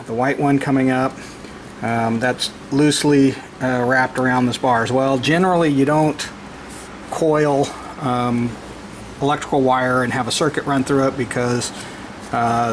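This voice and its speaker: A man giving an unscripted, halting step-by-step installation walkthrough, explaining as he works. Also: medium pitch. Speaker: DIY vlogger. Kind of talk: hands-on installation walkthrough, explaining as they work. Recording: clearly noisy location recording with ambient sound.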